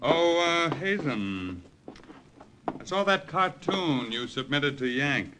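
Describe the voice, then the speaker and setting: A male actor playing an army colonel in 1940s radio drama, with a clipped manner and hemming pauses. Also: on the high side, very animated, sharp tone, a little hiss or hum, full character voice. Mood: dryly amused. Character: army colonel, hesitant but commanding senior officer.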